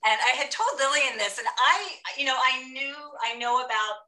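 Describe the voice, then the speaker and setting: A woman hosts a book club, her voice bright and edged. She sits back from the mic, so the room's echo wraps her chatty, storytelling talk. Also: sits high, moderate pace, distant mic. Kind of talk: casual interview hosting. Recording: echoey room, voice from off mic.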